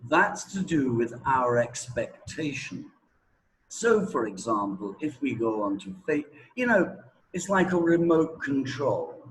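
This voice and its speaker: A man's voice: mid-range voice, sing-song, takes it slowly, a little hiss or hum, poor audio. A man giving explanatory interview answers as a teacher, emphatic and repeating words for stress.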